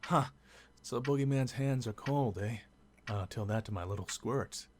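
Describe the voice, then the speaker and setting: An actor playing a businesslike investigator in a game, with a casual, probing, plainspoken manner. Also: male voice, mid-range voice, normal speed, a little mellow, lightly acted. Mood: inquisitive, businesslike. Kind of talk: game drama dialogue. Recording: clear ticking background noise.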